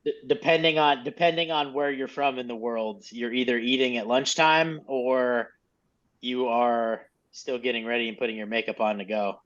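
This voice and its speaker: A man's voice: mid-range voice, steady tone, moderate pace, a bit bright. A man coaching a group in casual, informal, mildly profane instructional talk over a lo-fi video call. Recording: dull, lo-fi video call audio.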